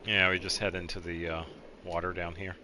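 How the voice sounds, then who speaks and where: A man giving casual video game commentary as he guides another player, explaining things in a halting, meandering way. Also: low-pitched, flat delivery, background noise.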